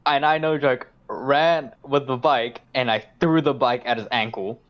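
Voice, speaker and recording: A man rambles through a casual podcast story, full of fillers and swearing. His pitch sits right in the middle for a man. The recording is a little dull and lo-fi. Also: unhurried. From South Auckland, New Zealand. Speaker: podcast co-host.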